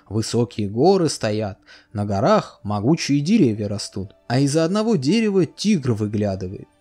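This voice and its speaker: Expressive male storyteller, lively. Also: mid-range voice. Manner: lively, expressive fairy-tale reading. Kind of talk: storybook narration. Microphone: voice-over. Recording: soft music behind.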